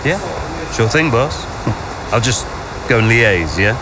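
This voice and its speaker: A man's voice: mid-range voice, animated, takes it slowly, noisy background. An actor playing a sardonic, self-deprecating young man sidelined in his father's racing team, in a game story. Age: young adult. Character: wry, sidelined young son working as team liaison. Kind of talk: cinematic game story dialogue.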